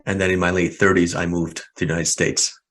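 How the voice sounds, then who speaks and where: This is a deep-voiced man in his forties who hosts a casual podcast. He talks in a friendly, rambling way and piles on fillers and restarts as he tells stories. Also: level tone, brisk, a bit bright. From Hungary, raised in Canada. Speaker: podcast host. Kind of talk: casual interview chat.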